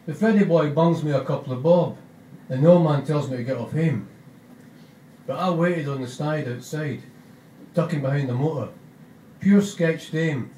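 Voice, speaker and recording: A man reading aloud as a gentle young male junkie narrator with a Scottish accent, in broad rambling dialect. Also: fairly high pitch, a little hiss or hum, close to an everyday voice. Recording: roomy, distant mic.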